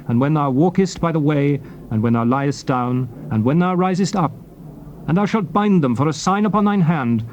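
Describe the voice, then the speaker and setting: Man, fairly high voice, dramatized Bible reading, solemn, declamatory, deliberate phrasing, faintly noisy cassette recording. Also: a little mellow. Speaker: scripture reader.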